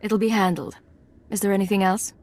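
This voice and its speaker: A woman's voice: medium pitch, steady tone, brisk, a little mellow, close to an everyday voice. An actress playing a cool, curt female secret agent in a video game, speaking in a businesslike, no-nonsense way. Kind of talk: video game character acting.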